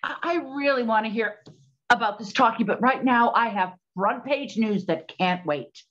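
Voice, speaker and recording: A woman playing a brisk, no-nonsense 1920s lady detective in an audio drama. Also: a little high, fairly bright tone, muffled recording, natural voice. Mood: urgent, reproving.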